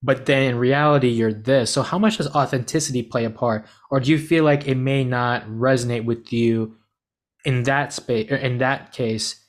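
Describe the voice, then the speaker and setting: A man's voice: mid-range voice, fairly even intonation, normal speed. Rambling man host asking questions. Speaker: podcast host. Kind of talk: casual interview questions.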